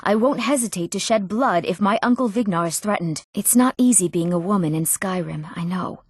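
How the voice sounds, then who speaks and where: Casting: woman to play spirited young Nord woman for a fantasy video game; earnest, proud, medium-pitched, recorded dry. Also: a little fast, slightly rough, no echo, close to an everyday voice. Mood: proud, determined. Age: young adult. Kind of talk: scripted fantasy game dialogue.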